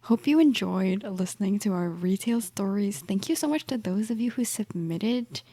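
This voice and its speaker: A woman's voice: mid-range voice, animated, normal speed, mellow. A young woman from Toronto co-hosting a podcast, chatting casually with laid-back, dry reactions. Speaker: podcast co-host. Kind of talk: casual chat.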